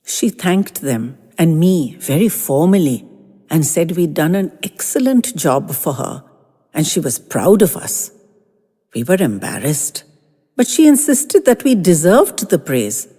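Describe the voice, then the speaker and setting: A woman narrating a first-person story in a gentle, expressive reading with lingering phrases. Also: lowish voice, measured pace, slightly rough, big-room sound.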